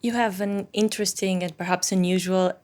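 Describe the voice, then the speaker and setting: A woman hosting an interview, asking questions in a measured way and rephrasing them as she goes. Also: medium pitch, steady tone, darkish tone. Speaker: interview host.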